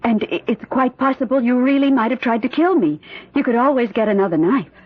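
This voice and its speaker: A woman's voice: on the high side, brisk, no room sound, lightly acted. An actress playing a devoted, resolute wife in an old-time radio drama, earnest and insistent with her husband. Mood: challenging, steady. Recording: thin, tinny old radio recording.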